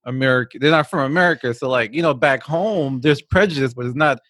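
Brief: man from Guyana, average pitch, relaxed podcast chat, dry mic. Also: brisk, no echo.

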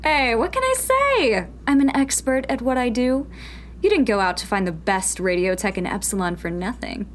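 A woman's voice: a little high, expressive, moderate pace, slight background noise, natural voice. A woman playing a cocky, sardonic young rebel radio tech in a sci-fi radio drama, casual, wry and brash. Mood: teasing, smug.

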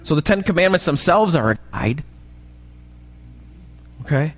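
American guy preaching, slow n kinda high voice, bit raspy, big church echo, keeps asking little check-in questions. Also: lively intonation. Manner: asks the congregation frequent short checking questions. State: slightly raspy voice this morning. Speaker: pastor. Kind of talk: sermon. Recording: live church recording, long hall reverb.

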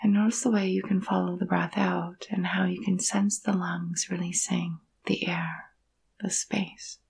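A woman guides a meditation in a soft, breathy voice with a gravelly creak at its edges. Her intonation stays quite even and gentle. She speaks in hushed, soothing phrases separated by long pauses. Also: lowish voice. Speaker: yoga nidra meditation guide.

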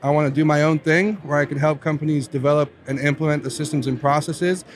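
A man's voice: fairly high pitch, fairly even intonation, quick pace. A man in his late twenties from Southern Virginia, a guest telling his career story in a casual podcast chat, upbeat and laughing often. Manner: upbeat, chatty, laughs often, says "right?".

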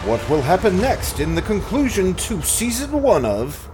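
A man's voice: high voice, huge pitch swings, normal speed. A man presenting a podcast in a scripted, theatrical announcer style, reading out credits and story recaps. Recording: loud music under the voice. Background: music.

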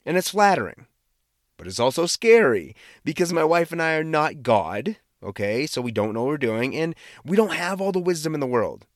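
A male podcast host with an American accent gives a casual devotional talk in a very lively, swooping voice. He is conversational and emphatic, repeating words to drive points home. The recording is close and dry. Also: a little high, normal speed, no echo. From Boston area, United States.

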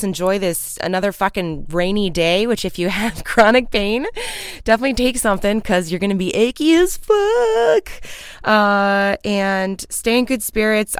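A woman in her thirties hosting her own podcast, chatting casually and upbeat with frequent ums and casual swearing, her morning voice sitting low. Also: clear, resonant tone, dry recording, studio quality. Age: 30s. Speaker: podcast host. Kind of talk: casual solo podcast update.

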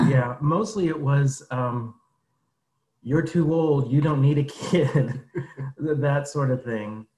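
A man in his fifties, a podcast guest answering in a measured, plain way with some ums. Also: medium pitch, warm tone, roomy sound, poor audio. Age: 50s. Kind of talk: casual interview answers.

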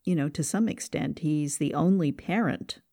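A woman hosting a podcast interview as its writer and producer, talking in a measured way. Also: deep voice, creaky, dark tone.

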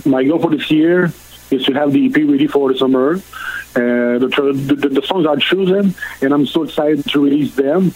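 Man, Quebec French accent, native French speaker, middle-aged, halting, lots of fillers, guest answering questions. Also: a little high, a little mellow, breathy edge, rough recording. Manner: halting, many fillers and restarts. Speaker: country singer, guest. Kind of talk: interview answers.

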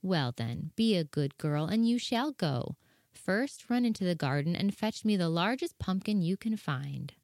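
Guy doing sweet fairy godmother, soft and higher, gentle, dry sound. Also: lively intonation, measured pace, no room sound. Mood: kindly. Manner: gentle and reassuring. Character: kindly fairy godmother, older woman.

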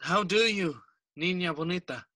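High-voiced, solemn, placating king. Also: animated, normal speed, pushed and bright, faint music behind, heavily acted. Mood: concerned. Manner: declamatory, switching between English and Spanish. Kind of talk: bilingual Spanish-English Shakespearean audio drama.

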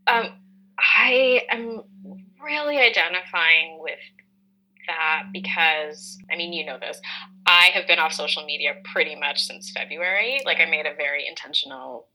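A woman's voice: sits low, measured pace, sharp tone, poor audio. A woman co-hosting a reflective, conversational talk, speaking candidly and explaining things with plain, relatable examples. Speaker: co-host.

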